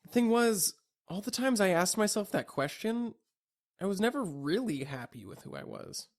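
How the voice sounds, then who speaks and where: Higher-voiced young man, reflective monologue. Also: sing-song, normal speed. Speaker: audio log narrator. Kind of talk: scripted reflective personal monologue.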